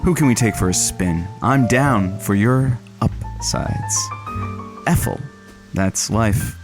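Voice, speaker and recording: An actor playing a radio producer filling in as host of a lonely-hearts show, wry and philosophical, a little hesitant in conversation. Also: male voice, on the low side, slow, over music. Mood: wry, showy. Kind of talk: radio call-in monologue and banter.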